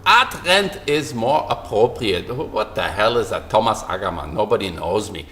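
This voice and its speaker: A middle-aged man from Germany, rambling through a self-recorded vlog rant in an animated, self-mocking way that repeats phrases. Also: lowish voice, moderate pace. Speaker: artist vlogger.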